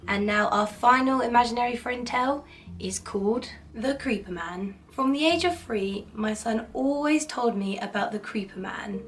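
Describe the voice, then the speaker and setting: A woman hoarse from a cold, hosting and narrating creepy stories in a cheerful, chatty, playfully spooky way. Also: a little high, normal speed. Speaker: horror storytelling channel host. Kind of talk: casual spooky story narration. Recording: slightly distant mic, faint background noise.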